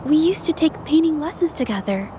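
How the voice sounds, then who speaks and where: An actress voicing an earnest young female painter, gentle and reflective as she investigates. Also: sits high, animated, a little fast, background noise. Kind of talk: video game voice acting.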